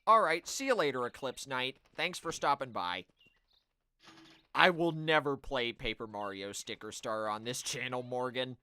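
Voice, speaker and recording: A man live streaming a video game, chatting to viewers and commenting in an animated way. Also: mid-range voice, normal speed, clear, resonant tone. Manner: animated, voice-acts game dialogue.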